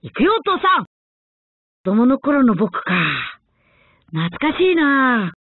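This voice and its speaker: A young adult male anime fighter, earnest and combative, for a video game. The voice sits high and leaps dramatically in pitch, delivered in short determined exclamations and battle cries. The clips sound thin and narrow, like a phone line. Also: very animated, slow pace, dry recording, exaggerated voice.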